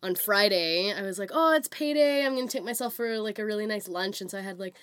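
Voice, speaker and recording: A woman co-hosting a podcast in casual chat, her voice raspy from a cold, chatty and laughing often. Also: mid-range voice, fast, fairly bright tone, no echo, clean studio sound. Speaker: podcast co-host.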